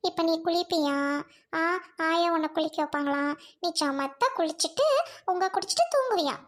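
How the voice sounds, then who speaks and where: A woman plays a gentle young mother, a polite daughter-in-law. She talks softly and coos in a very high voice. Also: moderate pace. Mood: cooing.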